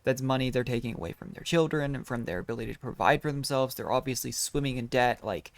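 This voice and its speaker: A man's voice: mid-range voice, fast, creaky, no echo. A man giving casual let's play commentary, musing and sympathetic, with a hesitant delivery close to the microphone. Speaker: streamer reacting to a game.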